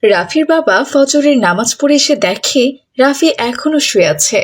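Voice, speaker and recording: A woman's voice: high-pitched, lively intonation, normal speed. A woman narrating a scripted moral story as a storyteller.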